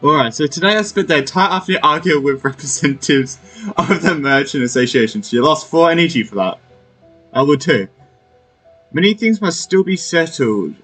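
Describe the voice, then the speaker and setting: A young man with a slightly high voice comments casually on a game. His delivery is informal, reactive and halting, and soft game music plays behind him. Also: lively intonation, slightly rough. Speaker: gamer playing through a story quest.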